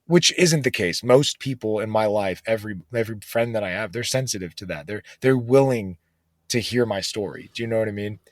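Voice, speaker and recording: A man's voice: on the low side, a little fast, dry recording, clean studio sound. A man being interviewed as a guest author, talking casually and earnestly with many fillers like "you know".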